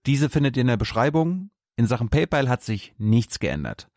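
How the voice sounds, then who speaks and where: A male host talks directly to viewers in a friendly, upbeat announcement. The sound is dry and close, with no room echo. His pitch stays in an ordinary middle range. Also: sing-song, moderate pace, darkish tone. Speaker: show host, appeal to supporters.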